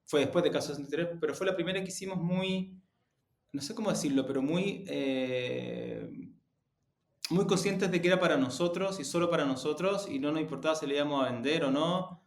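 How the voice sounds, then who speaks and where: A man's voice: a little high, a little fast, creaky. Chilean man in an echoey room. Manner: reflective, often rephrasing and qualifying himself. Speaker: interview guest, podcast production executive. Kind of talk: conversational interview answers. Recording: roomy, echoey sound.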